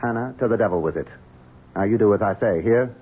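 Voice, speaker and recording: An actor playing a scheming gentleman in a 1970s radio drama, honeyed and wheedling with a sinister edge. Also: lowish voice, fast, breathy edge. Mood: commanding. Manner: honeyed, wheedling, turns commanding. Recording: lo-fi phone-like old radio broadcast.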